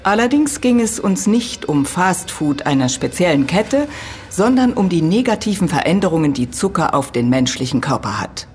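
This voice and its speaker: A woman's voice: lowish voice, big pitch swings, moderate pace, a little mellow, slight background noise. A woman playing a middle-aged professor in an audio drama, lecturing precisely and patiently correcting others with firm emphasis. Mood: matter-of-fact. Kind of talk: German audio drama dialogue.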